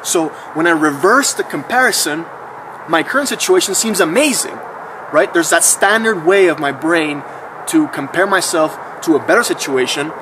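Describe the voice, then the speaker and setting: A man's voice: a little high, moderate pace, noisy background. A man in his thirties musing in a casual, slangy, chatty monologue for his vlog. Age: 30s. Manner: relaxed and chatty, with slangy asides. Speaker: vlogger.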